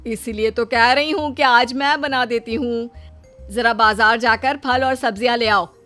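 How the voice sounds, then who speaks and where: A woman acting as an older, film-mad mother-in-law in a family storybook tale. She is stubborn and theatrical, speaking high and grandly over soft music. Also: fairly even intonation, a little slow. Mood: sweetly insistent. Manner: grandly delivers filmi dialogue. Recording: music under the voice.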